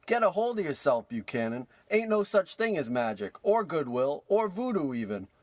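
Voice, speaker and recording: Man acting high-voiced brash American huckster, dry sound. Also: sing-song, a little mellow, dry recording. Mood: amazed, restless. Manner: fast-talking patter, old-timey American slang. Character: brash, slangy small-time huckster, cocky and scheming. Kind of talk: parody of old radio drama.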